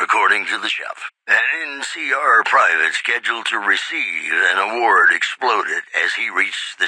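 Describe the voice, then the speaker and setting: A suave, flirtatious man hosting a retro radio show in a game. His low voice swoops expressively through song intros and bulletins, with intimate, theatrical pauses. It is recorded very close and dry. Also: pushed and bright, dry recording, full character voice, poor audio. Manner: smooth-talking, intimate, theatrical pauses and emphasis. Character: suave romantic male lounge-style radio host, flirty and sincere. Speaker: radio DJ and newsreader.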